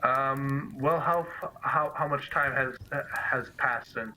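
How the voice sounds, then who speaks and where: A man with a very bright, sharp voice talks as a player in a tabletop game. He is casual and hesitant, circling back over his words. Faint noise sits behind him. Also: mid-range voice, slow, poor audio. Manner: hesitant, repeats himself and asks questions. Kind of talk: casual tabletop roleplay talk.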